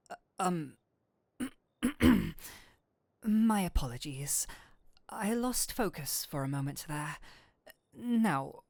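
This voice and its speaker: Woman acting a slow-talking, flirty, nerdy incubus demon. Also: mid-range voice, vocal fry, a little mellow, dry recording, studio quality, heavily acted. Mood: astonished, excited, then composed, sultry. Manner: theatrical, formal phrasing slipping into flustered stammering and gushing. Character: flirtatious incubus demon, secretly an excitable tabletop-game nerd. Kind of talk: scripted roleplay audio addressed to the listener.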